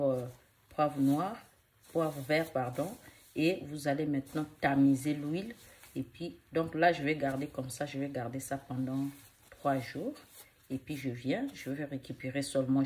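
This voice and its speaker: Woman vlogger with a cold, low voice, chatty rambling how-to. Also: a little mellow. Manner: rambling, repeats herself, many fillers. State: has a cold and cough. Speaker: hair care vlogger. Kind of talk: casual how-to demonstration.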